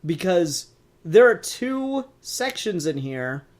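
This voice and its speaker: A man's voice: high-pitched, very animated, slow. A man co-hosting a podcast, chatting casually and enthusiastically as a fan reviewer, with plenty of swearing.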